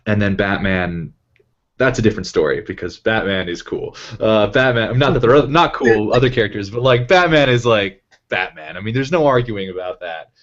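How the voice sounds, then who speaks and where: A man's voice: lowish voice, moderate pace, muffled recording. A man talking casually about his fandom as a guest on a panel. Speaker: cast or crew member on a panel Q and A. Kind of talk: casual fan chat.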